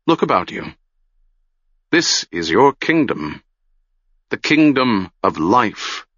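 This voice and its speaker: A grieving older wizard, gentle and grave in manner, voiced by a man reading a story. The voice sits low and moves slowly. The sound is dull and dry, like a close studio read. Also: dry recording, exaggerated voice.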